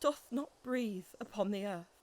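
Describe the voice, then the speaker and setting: A woman's voice: medium pitch, measured pace, a little mellow, natural voice, poor audio. A woman playing a bitter, grieving noblewoman in a Shakespearean audio drama, with a formal, cutting, theatrical delivery. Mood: bitter scorn.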